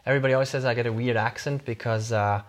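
A man's voice: mid-range voice, fairly even intonation, racing. A middle-aged man from Switzerland, a startup CEO answering interview questions in a loose, rambling way with many fillers and restarts.

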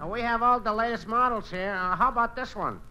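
A man's voice: very high voice, fast, highs cut off. An actor playing a polite, obliging male store salesman in an old-time radio comedy. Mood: helpful. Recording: noisy vintage radio show recording.

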